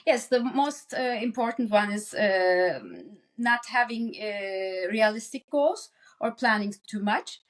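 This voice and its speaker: A woman with a fairly high voice and a Turkish accent speaks as an expert guest in a conversational interview. She goes haltingly, restarting phrases while she looks for the next word. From Turkey. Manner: halting, searching for words, repeats phrase starts. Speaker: psychiatrist, podcast guest.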